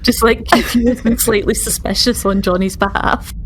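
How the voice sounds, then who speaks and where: A woman's voice: on the high side, steady tone, moderate pace, a little hiss or hum. A woman playing a tabletop game, casually improvising descriptions of the scene. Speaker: player in a tabletop roleplaying game.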